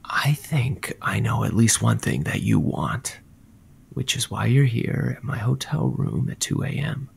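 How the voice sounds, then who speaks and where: Ambitious radio doctor, audio drama, creaky voice, lively intonation, effusive. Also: male voice, fairly low pitch, measured pace, in a whisper, exaggerated voice. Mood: hushed. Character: smooth, ambitious male doctor and radio advice guest. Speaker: guest advice doctor character.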